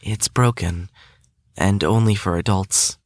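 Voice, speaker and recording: Man acting slow-spoken, level-headed young patient. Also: sits low, no echo. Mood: matter-of-fact. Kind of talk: audio drama acting.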